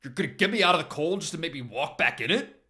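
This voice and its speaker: A cocky, hostile teenage jock in an acted story reading, voiced by a man. The voice sits higher and louder, full of scorn and defiance. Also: lively intonation, quick pace. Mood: scoffing. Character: belligerent, proud teenage jock, star quarterback.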